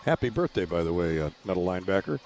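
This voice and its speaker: A man hosting a sports radio broadcast, giving a halftime recap with frequent halting pauses. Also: sits low, big pitch swings, darkish tone. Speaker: sports radio play-by-play host.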